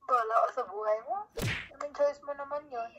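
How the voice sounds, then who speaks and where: A woman's voice: high voice, moderate pace, fairly bright tone, a little hiss or hum. A woman chatting casually on a call with a close friend, blunt and teasing. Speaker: friend receiving a phone call. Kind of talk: casual chat.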